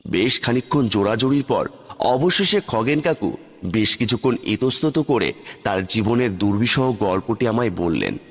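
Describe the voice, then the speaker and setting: A man's voice: on the low side, animated, moderate pace. Echoing male horror story narrator. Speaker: first-person narrator of a ghost story. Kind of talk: scripted dramatic horror narration. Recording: long hall-like reverb.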